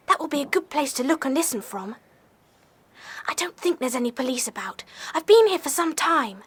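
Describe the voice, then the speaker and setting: A woman's voice: high voice, fairly bright tone. A woman playing a keen, adventurous young boy in a children's audio drama.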